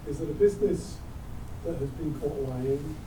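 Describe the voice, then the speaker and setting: A man in the audience asking a measured, analytical question, with some fillers. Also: fairly high pitch, recorded from a distance. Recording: echoey hall from afar with noise.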